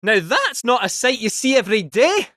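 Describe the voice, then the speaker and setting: A man's voice: very high-pitched, big pitch swings, normal speed, sharp tone, dry recording, studio quality, heavily acted. A man voicing a cheeky, chatty male robot cat fortune teller with a lilting Scottish accent, in video game voice lines. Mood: boastful.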